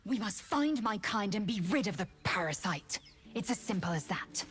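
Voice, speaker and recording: A woman's voice: medium pitch, animated, moderate pace, fairly bright tone, natural voice. An actress voicing a haughty, blunt alien warrior woman in a video game, speaking in a condescending, commanding way. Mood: stern.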